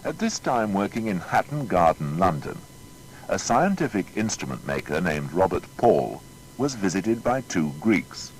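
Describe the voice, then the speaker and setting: A man narrating a scripted biography in a formal, measured storytelling manner. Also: deep voice, sing-song, a little hiss or hum, rough recording.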